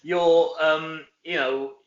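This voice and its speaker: A man's voice: a little high, steady tone, very slow, reverberant, dull audio. A middle-aged Welsh man, an actor chatting casually as an interview guest, informal and full of tag questions. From Wales.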